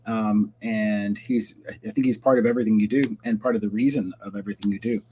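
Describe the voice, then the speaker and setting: A man's voice: on the low side, fairly even intonation, a little fast, dark tone, slight background noise. A man hosting a live-streamed interview show from home, chatty and upbeat with a few fillers. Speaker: host. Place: home, lawn crew outside.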